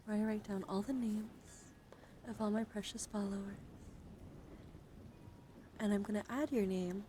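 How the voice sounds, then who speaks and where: A woman hosting an ASMR livestream, talking softly and casually and often slipping into a whisper. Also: mid-range voice, fairly even intonation, measured pace. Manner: soft-spoken, often whispering, repeats soothing words. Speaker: livestream host. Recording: clearly noisy home microphone.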